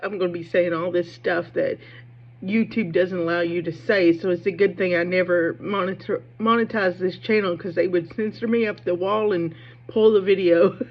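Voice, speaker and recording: A woman's voice: on the low side, fairly even intonation, highs cut off. A woman giving casual, opinionated book commentary in an emphatic, digressive, conversational way, with a slight speech impediment. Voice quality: speech impediment, slows down on hard sh sounds. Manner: emphatic, digressive, conversational, asking "right?" and "okay?". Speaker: book commentator on own video channel.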